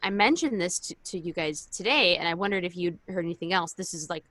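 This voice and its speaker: Woman podcast cohost, lowish voice, super dry audio, casual and into it. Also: animated, quick pace, a bit bright, dry recording. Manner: enthusiastic, informal. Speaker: podcast co-host. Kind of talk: casual chat.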